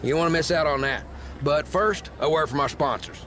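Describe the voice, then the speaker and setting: An actor as a male wrestling news host with a slightly high voice. He presents in a conversational, opinionated way over faint background noise. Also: a little fast, fairly bright tone, natural voice. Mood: informative. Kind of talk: scripted sports show presenting.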